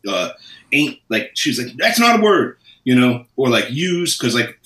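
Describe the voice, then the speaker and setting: A relaxed man with a slight Philadelphia accent tells stories in loose, slangy talk. He sits a little away from the microphone, and the room gives his voice a roomy echo. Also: medium pitch, normal speed, distant mic. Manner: laid-back, laughs often, slangy. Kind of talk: casual chat.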